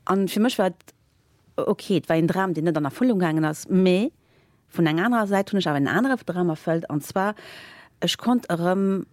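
A woman, a guest in a casual interview, telling stories with lots of fillers and lively laughter. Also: on the low side, brisk, no echo, studio quality. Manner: animated, laughing while telling anecdotes, many fillers. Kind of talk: casual storytelling.

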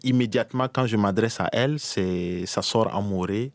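Low-voiced man from Burkina Faso. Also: moderate pace, no echo. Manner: hesitant, many restarts. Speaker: interviewee. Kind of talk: casual conversation.

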